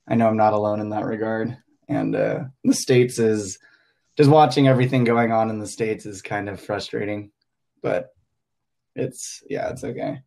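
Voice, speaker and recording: A man's voice: fairly low pitch, vocal fry, darkish tone. Hesitant young man from Hong Kong. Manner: hesitant, thinking aloud with many fillers. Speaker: podcast guest, English teacher. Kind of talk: casual podcast chat.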